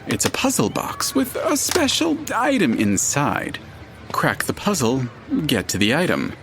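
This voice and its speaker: A man acting in an audio drama as a detached, formal narrator who speaks deliberately and matter-of-factly. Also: mid-range voice, wildly swooping pitch, over music. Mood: cool, formal.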